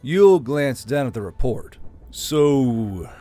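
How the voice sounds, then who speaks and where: A man narrating a fantasy novel in a steady storytelling manner, with dry, flat touches. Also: mid-range voice, normal speed, faint music behind. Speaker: audiobook narrator.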